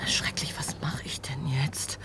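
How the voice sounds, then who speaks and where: A woman's voice: a little slow, a bit bright, in a whisper, lightly acted. A woman playing a brusque, wry, nervy shopkeeper in a German crime radio drama, talking in a sardonic, colloquial, flustered way.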